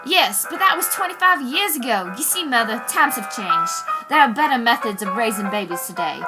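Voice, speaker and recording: A woman's voice: on the high side, expressive, a little fast, close to an everyday voice. A woman acting an earnest, instructive young mother in a theatrical 1940s radio advertisement. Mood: exasperated. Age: teens. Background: music.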